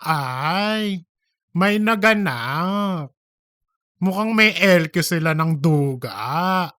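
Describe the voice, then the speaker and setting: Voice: mid-range voice, expressive, extremely slow, a bit bright, dry recording, clean studio sound. A performer acting out chatty office colleagues in a comedic audio drama, with an exaggerated, teasing conversational manner. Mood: distracted.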